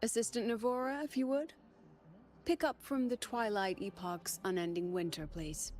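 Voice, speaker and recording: A woman playing a poised, intrigued academy president in a video game, speaking in a measured, teasing way with theatrical pauses. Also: mid-range voice, steady tone, a little mellow, lightly acted. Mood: composed authority.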